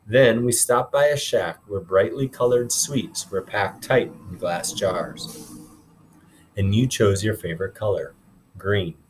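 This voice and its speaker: Man, low-pitched, teacher, expressive read-aloud narration, explanatory asides, informal and instructive. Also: slow pace, a little hiss or hum, rough recording. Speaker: teacher reading aloud to a class.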